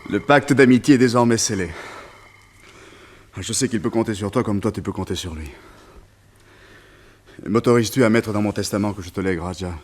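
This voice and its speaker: An actor as a deep-voiced, haunted, earnest man. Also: a little fast, long pauses, close to an everyday voice. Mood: solemn. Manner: earnest, confiding, brooding. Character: anxious, haunted man, devoted to his pet tiger. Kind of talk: French radio play acting.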